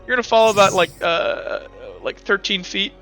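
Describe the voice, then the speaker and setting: A man narrating and refereeing a tabletop role-playing game among friends, in an informal, animated storytelling way. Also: high-pitched, normal speed, faint music behind, rough recording. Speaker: game master.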